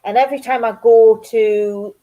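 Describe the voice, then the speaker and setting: A woman's voice: a little high, steady tone, normal speed, phone-quality sound. A middle-aged woman with a Geordie accent touched by North Yorkshire, chatting and rambling casually to her viewers as a crafts channel host. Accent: Geordie mixed with North Yorkshire. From North East England. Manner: chatty, rambling, self-deprecating. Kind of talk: casual chat to live viewers.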